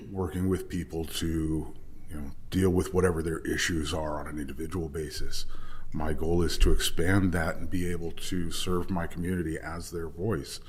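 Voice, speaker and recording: A man introducing himself as a candidate at a public forum, with a big, forthright voice. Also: low-pitched. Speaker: city council candidate.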